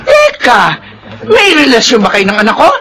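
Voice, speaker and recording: A high-voiced, scolding stern mother. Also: wildly swooping pitch, normal speed, a bit bright, a little hiss or hum, heavily acted. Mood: angry, menacing. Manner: scolding, threatening. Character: stern, angry, domineering mother of a student. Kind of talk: Filipino radio drama acting.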